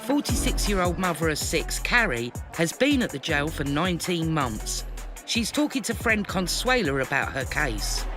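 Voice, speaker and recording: A man narrating a documentary in a measured, informative scripted read. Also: on the high side, big pitch swings. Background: music.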